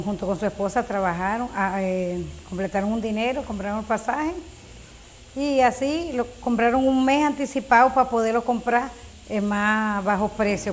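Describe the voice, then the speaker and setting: A woman's voice: medium pitch, slight background noise, poor audio. A woman in her fifties from Venezuela, telling her story in a documentary interview, hesitant with many fillers. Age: 50s.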